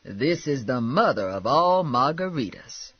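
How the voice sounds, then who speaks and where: A man voicing a brash, sassy, loudmouthed woman with blunt, slangy, indignant talk in an audiobook. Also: mid-range voice, very animated, unhurried, breathy edge, no echo, dull audio. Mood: teasing.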